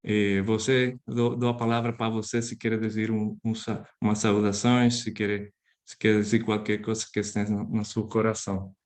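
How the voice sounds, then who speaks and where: A man moderating an online event, speaking haltingly with many fillers. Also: lowish voice, little pitch movement, darkish tone.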